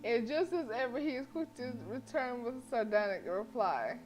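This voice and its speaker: A woman's voice: a little high, animated, normal speed. A woman livestreaming a visual novel, reading it aloud with playful, slangy asides. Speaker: video game streamer. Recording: music playing behind the voice. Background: game music.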